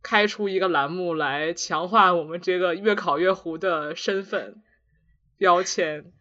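A man with a fairly high, very bright voice chats casually as a podcast co-host. He is self-mocking and giggly, and the recording sounds a little dull and lo-fi. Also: a little slow.

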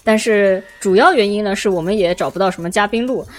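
A woman's voice: mid-range voice, fast talker. A woman co-hosting a podcast, speaking in casual conversation with her co-host and guest.

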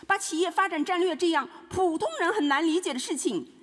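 A woman's voice: extremely high pitch, brisk. A woman playing an aggrieved, scornful mining company boss who makes accusations in a mock trial. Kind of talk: scripted audio drama. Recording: long hall reverb.

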